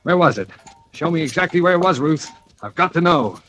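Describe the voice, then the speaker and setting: Desperate fugitive with lost memory, somewhat high pitch, insistent, 1940s radio drama, old recording with music. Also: male voice, expressive, fairly quick, fairly bright tone. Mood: urgent, demanding. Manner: intense, urgent, insistent. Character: desperate amnesiac man wanted for murder, hunting the truth. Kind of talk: 1940s radio thriller acting with first-person narration.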